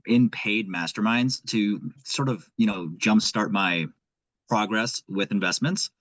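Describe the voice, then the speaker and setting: Young Nepali-accented man, low dry voice. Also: a little slow, no room sound. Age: young adult. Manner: earnest, animated, thinks aloud. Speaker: guest, aspiring investor. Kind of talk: casual conversation.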